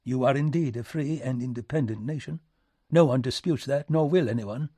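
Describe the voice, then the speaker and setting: A man's voice: medium pitch, moderate pace, warm tone, no room sound, studio quality, pitched above the performer's own voice, close to an everyday voice. A man voicing a courteous aristocratic diplomat in an audiobook, speaking formally and stiffly. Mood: smooth, conciliatory.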